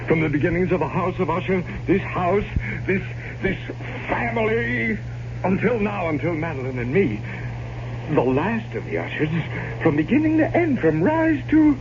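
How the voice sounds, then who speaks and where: An actor playing a tormented, morbid and unstable last heir of a doomed family in a radio horror drama, theatrical and stammering. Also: male voice, high voice, big pitch swings, moderate pace. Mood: bitter, agitated. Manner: theatrical, stammering, repeating phrases. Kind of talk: old-time radio horror drama acting. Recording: noisy, muffled old radio drama recording.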